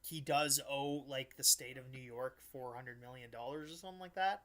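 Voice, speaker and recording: A man hosting a podcast, chatting casually about politics in a blunt, halting way, often restarting and correcting himself. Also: mid-range voice, crowd noise. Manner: halting, frequent false starts and self-corrections, blunt. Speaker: podcast host.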